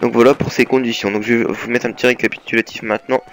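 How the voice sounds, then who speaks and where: A man's voice: mid-range voice, level tone, fast, a little hiss or hum. Young man with a slight cold. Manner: hesitant, many fillers and restarts. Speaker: gaming clan leader presenting his team. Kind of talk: casual voice-over over gameplay.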